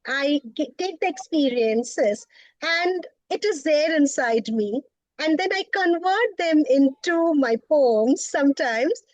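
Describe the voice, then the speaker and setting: A middle-aged woman from Bengal with an Indian English accent, a poet and professor speaking as a guest, often laughing and thoughtful with pauses. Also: very high-pitched, no echo, poor audio. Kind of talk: interview conversation.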